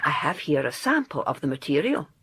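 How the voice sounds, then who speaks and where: A woman's voice: deep voice, sing-song, brisk, fairly bright tone, slightly rough, no room sound, heavily acted. An actress playing a fond, proud middle-aged English mother in a period audio drama, genteel and theatrical. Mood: fond, brisk. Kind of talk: period radio drama acting.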